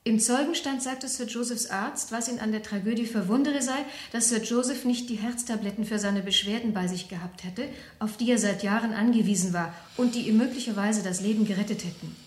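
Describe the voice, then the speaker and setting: Actress as concerned, insistent wife, roomy sound. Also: female voice, on the high side, a little fast, hardly any pauses, close to an everyday voice. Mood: informing. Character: concerned, chatty, insistent British doctor's wife. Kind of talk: German radio crime play acting. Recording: roomy old radio drama studio.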